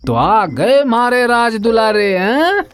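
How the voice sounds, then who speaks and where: A man playing a stern but kindly village farmer father in a cartoon moral story, with an expressive rustic drawl. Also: very high-pitched, takes it slowly, slight background noise, heavily acted. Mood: instructive, patient. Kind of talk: animated moral story acting.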